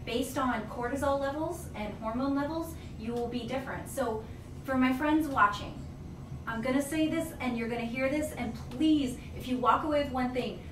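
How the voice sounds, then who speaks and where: A woman's voice: sits high, normal speed. A woman in her thirties, a nutritionist guest on a radio show interview, speaking emphatically and energetically in a teaching tone, recorded indoors in a small office by a distant camera. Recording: echoey room, distant mic, background noise.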